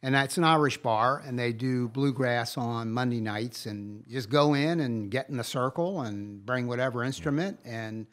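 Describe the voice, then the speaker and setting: A man's voice: medium pitch, normal speed, few pauses. A middle-aged man chatting casually as a podcast co-host, introducing a guest in an enthusiastic, rambling storytelling way. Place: live podcast recording at a coffee parlor.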